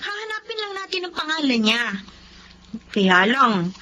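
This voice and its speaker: A woman playing a frank, curious young Filipino daughter in a radio drama, with an expressive, drawn-out delivery. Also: high-pitched, highs cut off, heavily acted.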